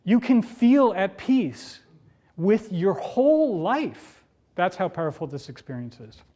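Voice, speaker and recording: A man speaks in a somewhat high voice as a public speaker. His delivery is earnest and emphatic, leaning on repetition to drive home each point in a motivational address. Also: sing-song, unhurried, warm tone. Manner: earnest, emphatic, repeats phrases for rhetorical effect. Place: room, speaking to a campus audience.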